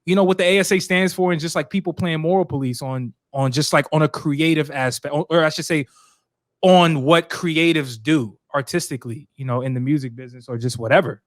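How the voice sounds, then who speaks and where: A man's voice: a little high, brisk, no echo, studio quality. A man in his thirties hosting a casual podcast, asking loose, filler-heavy questions. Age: 30s. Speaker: podcast host.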